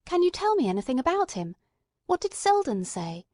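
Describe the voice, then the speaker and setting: A woman's voice: high voice, big pitch swings, a little fast, a little mellow, no room sound, studio quality, pitched above the performer's own voice. A woman voicing an inquisitive male doctor turned investigator in a mystery drama, firm, emphatic and questioning. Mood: urgent, firm.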